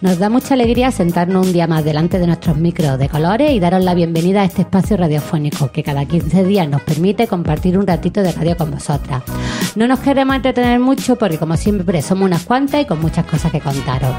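Spanish woman presenting, low-pitched, over music. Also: animated, fast talker. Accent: Spanish from Spain. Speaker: radio show host. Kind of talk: scripted magazine show presenting.

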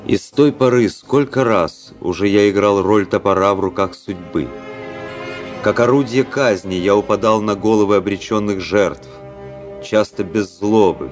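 A man acting a cold, world-weary young officer in a literary radio play. His voice is low and measured, turned inward with a mocking, ironic edge. Music plays clearly behind him. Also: natural voice. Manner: measured, introspective, ironic delivery. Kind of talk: literary radio drama monologue and dialogue.

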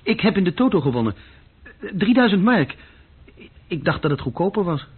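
A man's voice: high voice, big pitch swings, normal speed, slightly rough, full character voice. An actor playing a restless young man telling of his adventures in a conversational radio-drama style. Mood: defensive. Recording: dull, lo-fi old radio recording.

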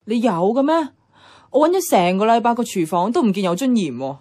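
An actress playing a bossy, teasing young flatmate in a Cantonese radio drama, with a Hong Kong accent and a blunt, playful, colloquial way of talking. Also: female voice, a little high, brisk.

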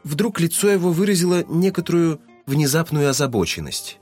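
A man's voice: a little high, animated, moderate pace, a little mellow, soft background music. A man narrating a literary audio drama in a scripted, storytelling style.

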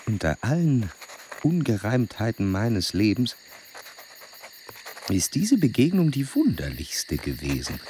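An actor playing a proud, formal scientist-explorer with a measured, pompous manner in a radio play. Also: male voice, on the low side, huge pitch swings, dark tone, slight background noise. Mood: musing.

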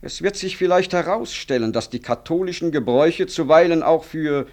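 An actor playing a smooth, self-important government minister in a 1960s German radio drama, suave, rhetorical and condescending. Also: male voice, on the high side, moderate pace, a little mellow, lightly acted. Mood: self-justifying.

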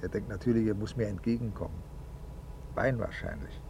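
An actor playing an anxious, irritable, self-important official in a 1950s radio play, muttering in clipped, peevish phrases. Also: male voice, lowish voice, brisk, gravelly, a little mellow. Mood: peevish calculating. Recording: noisy vintage 1950s radio recording.

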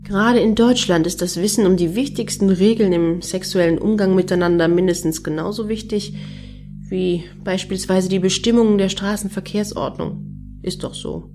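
A woman's voice: mid-range voice, normal speed, warm tone, soft background music, lightly acted. An actress playing a bossy, protective female fan in a German radio play, opinionated and teasing.

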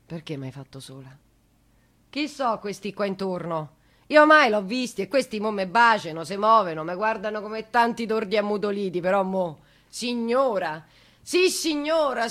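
A woman's voice: mid-range voice, fairly bright tone. A woman playing a grieving, bitter lone mother in a theatrical monologue, with a Roman accent and intimate, broken, repetitive delivery swinging from murmurs to cries. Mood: pleading fervor.

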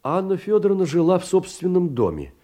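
An actor playing a narrator of a literary memoir in an audio drama, telling it in a dramatic, deliberate, theatrical way. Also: male voice, sits high, sing-song, dark tone. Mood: wry storytelling. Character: male narrator reading a young woman's sorrowful memoir.

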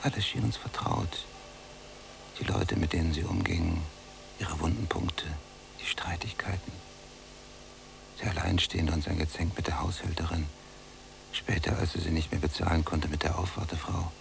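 Deep, creaky, brooding prisoner. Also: male voice, fairly even intonation, normal speed, long pauses, background noise. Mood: hushed, brooding. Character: brooding, embittered male prisoner, younger cellmate. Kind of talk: German radio drama acting, literary monologue.

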